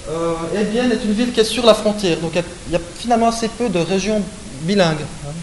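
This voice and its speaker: A man's voice: high-pitched, fast talker. A man from Switzerland giving an academic lecture, explaining points with frequent hein and euh and correcting himself as he goes. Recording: echoey room with faint hiss.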